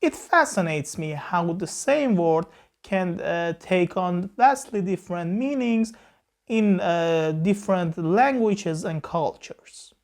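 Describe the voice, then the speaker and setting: Woman, film review host, unhurried pace, measured lecturing tone, frequent "uh" pauses. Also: lowish voice, lively intonation, darkish tone. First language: Persian. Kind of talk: explanatory film review talk.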